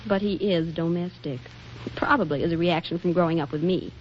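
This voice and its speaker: An actress playing a flippant, scheming, exasperated wife in a 1940s radio comedy, delivering wisecracks with arch drama. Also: female voice, on the low side, brisk, close to an everyday voice. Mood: wry exasperation. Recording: dull, faintly noisy 1940s radio recording.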